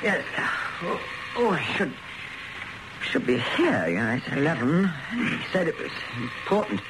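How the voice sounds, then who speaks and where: A man's voice: on the high side, very animated, a little slow. An actor playing an anxious, groggy man in a radio drama, stammering and hesitant as he waits for a friend. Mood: dizzy, woozy. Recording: noisy radio drama recording.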